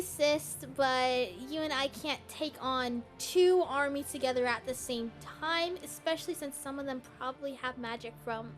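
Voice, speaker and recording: A woman voicing an excitable, anxious young girl, a devoted little sister, in an amateur Minecraft roleplay, flustered and stammering. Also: high voice. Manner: flustered, stammering, repeats words.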